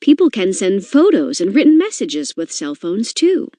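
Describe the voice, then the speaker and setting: A woman reading an educational book aloud in an expressive, clearly phrased way. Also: medium pitch, normal speed, no echo. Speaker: reader of a children's nonfiction book. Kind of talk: educational read-aloud narration.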